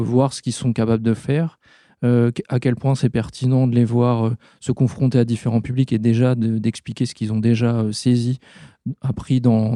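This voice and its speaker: A man with a very dark, gravelly voice speaks in a flat, even line. He explains things patiently as a festival organizer, often restarting his sentences. The sound is close and dry. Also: mid-range voice, no room sound. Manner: measured, explanatory, frequent restarts. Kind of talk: interview answers.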